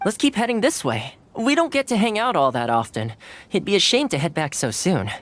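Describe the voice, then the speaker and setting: An actor voicing a courteous, easygoing young male retainer in game dialogue, polite and gently humorous. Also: high-pitched, very animated, brisk. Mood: friendly.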